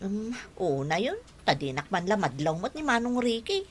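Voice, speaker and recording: A woman's voice: medium pitch, very animated, normal speed, husky. A woman acting a giddy, girlish young woman swooning over her crush in a radio drama. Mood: coy. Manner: gushing, girlish.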